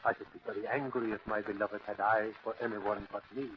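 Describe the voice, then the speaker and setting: An actor playing a possessive, menacing stage mind reader in old-time radio thriller style, with a commanding, silky, deliberate delivery. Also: male voice, fairly low pitch, steady tone, natural voice. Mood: silky menace. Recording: tinny, noisy old radio recording.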